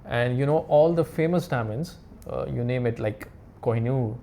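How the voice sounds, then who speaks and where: Gravelly-voiced man explaining as a guest expert. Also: mid-range voice, warm tone, a little hiss or hum. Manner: halting, repetitive, non-native English phrasing. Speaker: diamond expert, interview guest. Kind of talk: casual expert explanation in interview.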